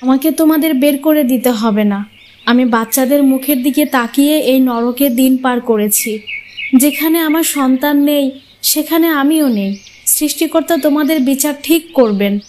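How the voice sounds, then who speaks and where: A woman's voice: sits high, normal speed, darkish tone, a little hiss or hum, close to an everyday voice. A woman voicing a long-suffering, sorrowful young mother in a cartoon fairy tale, earnest in manner. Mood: bitter, defiant.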